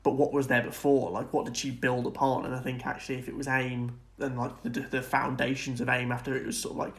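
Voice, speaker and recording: A man's voice: mid-range voice, completely flat delivery, fast, a bit bright. A man co-hosting a casual film and TV discussion podcast, speaking in an agreeable, informal way. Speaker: podcast co-host.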